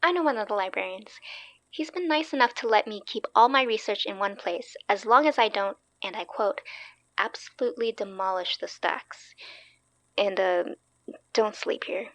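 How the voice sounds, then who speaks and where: A woman's voice: medium pitch, moderate pace, dry recording, lightly acted. A woman playing a keen, wry young researcher in a horror audio drama, explaining things casually. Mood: eager, wry.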